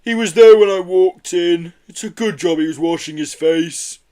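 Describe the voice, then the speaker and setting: A man voicing a cheerful, carefree, tipsy female yeti from a children's storybook, with a slow, drawn-out delivery. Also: high-pitched, dark tone, voice pushed up, lightly acted. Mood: nonchalant.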